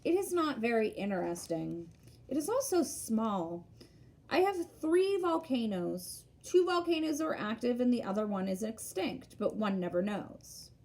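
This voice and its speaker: A woman voicing a gentle little boy prince from a storybook, full of wondering, persistent questions. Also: a little high, very animated, a little slow. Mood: modest.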